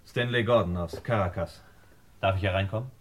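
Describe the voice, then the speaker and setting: Actor as low-voiced, courteous, earnest young man. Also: fairly quick, slight background noise, lightly acted. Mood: reserved. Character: earnest, polite young man, son on an errand. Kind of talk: German radio crime play acting.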